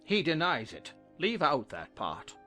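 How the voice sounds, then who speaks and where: A man's voice: on the high side, wildly swooping pitch, measured pace, bright, forceful tone, soft background music, heavily acted. A man playing a pompous, testy, dim-witted king who commands a court in an audio drama.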